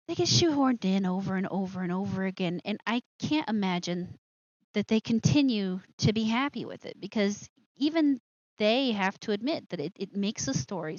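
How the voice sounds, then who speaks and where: A woman's voice: medium pitch, no echo, dull audio. A woman hosting a live show with casual commentary, rambling and interrupting herself with asides.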